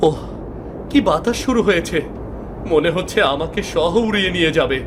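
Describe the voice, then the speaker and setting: A man's voice: a little high, lively intonation, moderate pace, background noise, pitched above the performer's own voice, lightly acted. A man voicing a poor, honest blind young woodcutter in a cartoon fairy tale, plaintive and earnest. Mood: anxious, distressed.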